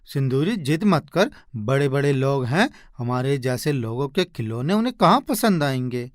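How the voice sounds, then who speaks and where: A man voicing a poor, humble woodcutter husband in an animated moral story, earnest and expressive. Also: mid-range voice, moderate pace, dry recording, studio quality, natural voice. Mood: dejected, reluctant.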